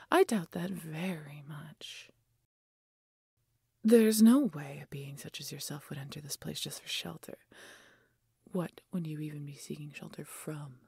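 A woman playing a proud, menacing wounded dragon in a fantasy audio roleplay, with haughty, deliberate delivery and a breathy, whispery growl. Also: low-pitched, mellow, dry recording, clean studio sound, exaggerated voice. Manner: haughty, slow, deliberate threats. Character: proud, menacing wounded female dragon guarding her hoard.

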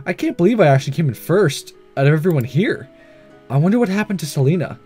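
A man voicing an earnest, self-doubting teenage schoolboy's inner thoughts in a visual novel, read softly and reflectively. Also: on the high side, animated, quick pace, husky, soft background music. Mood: determined, conflicted.

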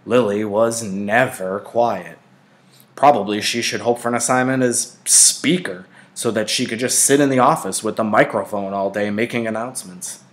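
Male narrator, fairly deep voice, teacher reading a novel aloud. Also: fairly even intonation, moderate pace.

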